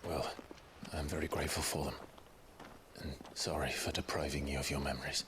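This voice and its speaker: An actor playing a brooding, courteous young lord in a game, speaking in a formal, hushed, restrained way. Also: male voice, rumbling low, little pitch movement, brisk, noisy background. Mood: grateful, subdued. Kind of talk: video game cutscene acting.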